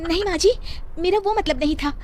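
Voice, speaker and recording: A woman voicing a polite, pleading young working wife and daughter-in-law, soft-spoken and deferential, in a story. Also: very high voice, fairly quick, noisy background, pitched above the performer's own voice.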